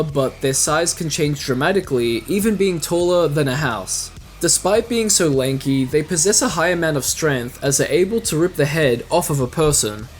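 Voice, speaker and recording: A man's voice: fairly high pitch, slight background noise. A man narrating a scripted fan video essay in an explanatory way with casual asides. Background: music.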